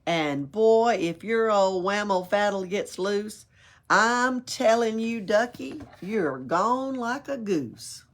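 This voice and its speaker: A woman's voice: mid-range voice, takes it slowly. A woman reading a rhyming picture book aloud at a library storytime, playful, with little asides of her own. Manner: expressive, playful, adds asides and chuckles.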